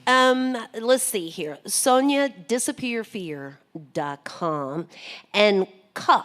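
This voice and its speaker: A woman's voice: mid-range voice, animated, slow, fairly bright tone. Folksy woman radio host. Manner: joking, folksy, many fillers. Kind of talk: live radio interview hosting.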